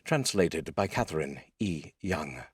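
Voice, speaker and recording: Male poetry host and voice actor, very low husky register, wry and deliberate, recorded close and dry. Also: sing-song, dry recording. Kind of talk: informal spoken intro and poetry reading.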